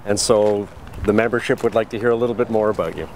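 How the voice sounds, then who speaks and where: A middle-aged man asking conversational interview questions in a measured, friendly way. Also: fairly low pitch, a little mellow, a little hiss or hum, low-quality recording. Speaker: interviewer, physician colleague.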